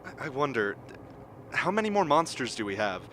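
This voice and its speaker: A man's voice: mid-range voice, very animated, normal speed, a bit bright, slight background noise. A man playing a nervous, suspicious camp member who halts and repeats words in a radio drama.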